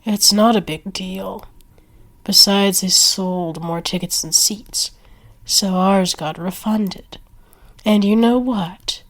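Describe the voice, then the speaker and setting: A smug werewolf woman, played by a female performer in an audio roleplay. She talks very slowly at a medium pitch, teasing and smooth, flirty and protective with a taunting edge. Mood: flirty, coaxing. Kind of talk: ASMR roleplay acting.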